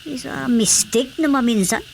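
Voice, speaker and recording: A woman's voice: sits high, moderate pace, gravelly, a little hiss or hum. A woman playing a compassionate, plain-spoken older village wife with an Ilocano accent in a comic radio drama, earnest in manner. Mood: concerned.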